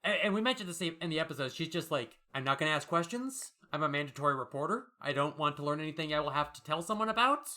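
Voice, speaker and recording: A man's voice: on the high side, lively intonation, fast, few pauses, sharp tone. A man hosting a podcast, chatting casually and reading out listener mail in a wry, jokey way. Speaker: podcast host.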